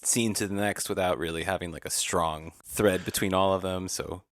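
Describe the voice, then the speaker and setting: A man's voice: low voice, steady tone, normal speed. A man co-hosting a podcast in casual chat, slangy and irreverent with some swearing. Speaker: podcast co-host.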